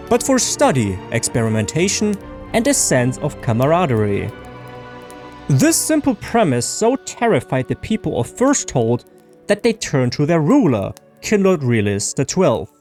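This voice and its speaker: A man reading fantasy lore books as scripted audiobook-style narration, in a measured, expressive storytelling manner. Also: a little high. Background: music behind the voice.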